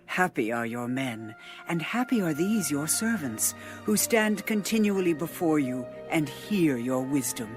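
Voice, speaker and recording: A woman's voice: low-pitched, big pitch swings, music in the background. A stately, awestruck ancient queen. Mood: reverent awe. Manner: slow, stately, measured delivery. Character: admiring, reverent queen of an ancient land. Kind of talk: dramatized audio Bible reading.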